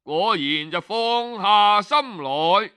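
Slow, high-voiced male storyteller. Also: expressive, sharp tone, clean tone. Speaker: storyteller, audiobook narrator. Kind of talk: traditional Cantonese oral storytelling narration.

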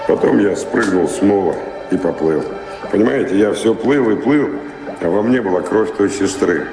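An actor playing a talkative, nostalgic former pirate and wanderer in an audio drama, musing in short halting phrases. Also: male voice, lowish voice, expressive, music in the background. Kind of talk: Russian audio drama acting. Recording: roomy reverberant hall sound.

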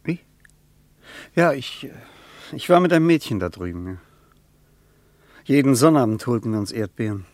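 An actor playing a reflective German traveller who once served as an officer, speaking hesitantly. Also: male voice, mid-range voice, big pitch swings, normal speed, pauses often, dry recording, natural voice. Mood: wistful. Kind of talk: 1950s radio play acting.

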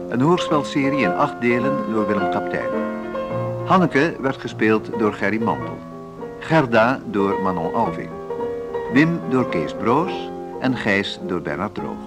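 Lively male announcer over piano. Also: medium pitch, a little slow. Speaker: radio drama announcer. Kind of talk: scripted closing credits. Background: loud piano music.

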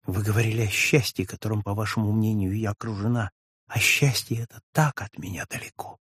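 Man voicing a young distraught wife, tearful, hushed, husky, dry close sound. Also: lowish voice, steady tone, a little fast, no room sound. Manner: sobbing, plaintive, hushed. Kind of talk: audiobook reading of a classic novel.

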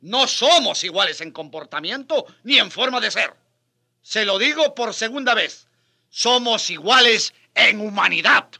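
A man's voice: sits high, lively intonation, measured pace, gravelly, pushed and bright, exaggerated voice. A man playing a passionate, sardonic Spanish friar who argues with challenging questions in a radio drama. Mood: wry. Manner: impassioned, challenging, mocking rhetorical questions.

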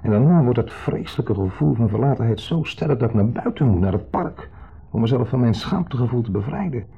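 A lonely, clingy ex-lover in a 1970s radio drama. His voice is mellow and husky, pleading for friendship and slipping into downcast confession. The old radio recording is dull. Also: mid-range voice, lively intonation, fairly quick, full character voice. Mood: lonely, confessional. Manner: pleading, confessional, swinging between eager and downcast. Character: lonely, needy, insistently friendly ex-lover, a guest. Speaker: actor.

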